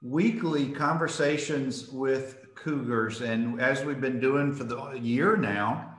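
A man's voice: medium pitch, slow pace, fairly bright tone, echoey room. A middle-aged man, hosting a friendly interview over a video call, often repeating words as he starts a phrase. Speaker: host. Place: home room with school mascot wall.

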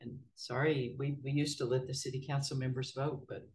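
A board chair running a city meeting in a folksy, relaxed way, with many filler words. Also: medium pitch, brisk. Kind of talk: formal meeting procedure mixed with casual remarks. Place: city council chamber. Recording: distant mic in a meeting room.

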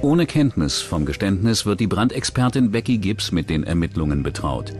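Low-voiced male documentary narrator. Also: very animated, normal speed, darkish tone, soft background music. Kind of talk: scripted true-crime narration.

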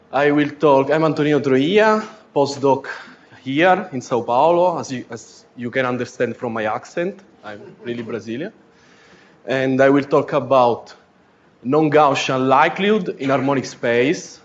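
A man's voice: mid-range voice, slight background noise. Man giving a lecture. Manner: joking, informal, with fillers and restarts. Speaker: postdoc giving a conference talk. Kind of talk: academic lecture. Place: lecture room. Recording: dull lo-fi lecture recording.

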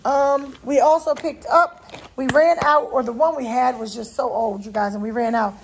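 High-voiced woman chatting casually to viewers. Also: steady tone, normal speed, slight background noise, poor audio. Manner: folksy, chatty, addressing viewers directly. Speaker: vlogger showing a grocery haul. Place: home kitchen, indoors. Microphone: handheld phone behind the camera.

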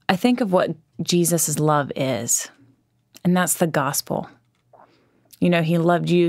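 A woman's voice: lowish voice, no room sound, studio quality. A woman hosting a faith podcast, talking through her views in an earnest, conversational way with fillers and restarts. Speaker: podcast host.

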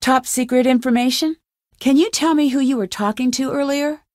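A woman's voice: sits high, steady tone, moderate pace, darkish tone, no room sound, studio quality, lightly acted. A woman voicing a determined, suspicious young amateur detective in a video game, with an earnest, questioning manner. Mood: insistent.

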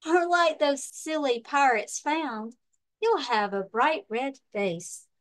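A woman librarian reading a children's storybook aloud with expressive, deliberate, emphatic narration. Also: high-pitched.

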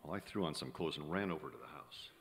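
A man's voice: very deep voice, lively intonation, fast, fairly bright tone. A middle-aged American man playing a cynical young screenwriter who narrates in a wry, old-time radio drama style. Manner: wry, hard-boiled. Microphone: vintage-style stand microphone. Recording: reverberant theater hall with music.

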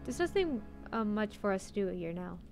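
A woman's voice: mid-range voice, very animated, normal speed, creaky, over music. A woman streamer reading a visual novel's narration aloud into a close mic.

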